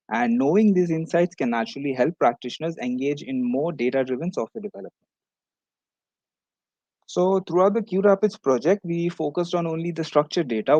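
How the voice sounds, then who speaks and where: A man's voice: on the high side, darkish tone, no echo, dull audio. A man giving a prepared academic presentation as a researcher at an online conference.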